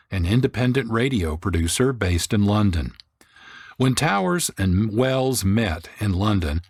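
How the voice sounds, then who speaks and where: A man's voice: on the low side, no room sound, studio quality. A man hosting a radio programme with scripted narration. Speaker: host.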